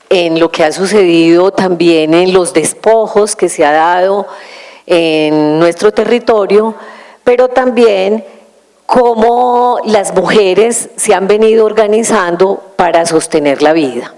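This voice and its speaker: A woman from Colombia, giving a measured, explanatory conference lecture with frequent hesitations. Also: medium pitch, a little mellow. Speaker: invited lecturer.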